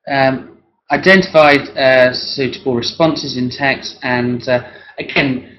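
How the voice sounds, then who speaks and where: Male work-based assessor, hoarse and frequently clearing his throat, with a halting, conversational delivery. Dull, lo-fi recording. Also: medium pitch, fairly even intonation, slight background noise. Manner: halting, hesitant delivery with tag questions. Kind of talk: one-to-one assessment planning talk.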